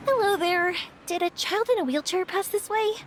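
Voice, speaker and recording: A woman voicing an energetic, earnest, helpful young woman in a video game. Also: very high-pitched, moderate pace. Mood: earnest, urgent. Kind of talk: scripted game dialogue. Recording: music behind the voice.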